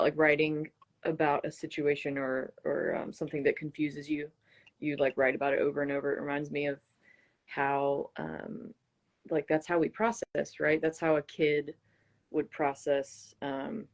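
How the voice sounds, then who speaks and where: A woman guest with plenty of vocal fry, speaking in a casual, reflective way. She is thoughtful and hesitant, often pausing to search for the right word. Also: sits low, steady tone. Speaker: interview guest, singer-songwriter. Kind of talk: casual reflective interview talk.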